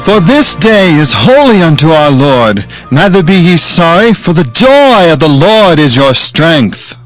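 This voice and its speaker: A man's voice: fairly high pitch, very animated, a little slow, rough recording. A man playing a biblical leader exhorting his people in a dramatized scripture drama, proclaiming emphatically. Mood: encouraging. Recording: music bed under the voice.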